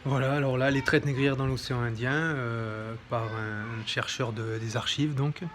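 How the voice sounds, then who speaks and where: A man's voice: medium pitch, steady tone, normal speed, noisy background. A man presenting a history book in an informal, hesitant commentary, with many fillers and self-corrections. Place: home near an airport.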